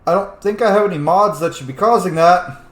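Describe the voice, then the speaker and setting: A man's voice: high voice, a little fast, roomy sound. A man giving casual, sweary gameplay commentary, talking informally to the game's characters as he plays. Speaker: gamer, let's play host.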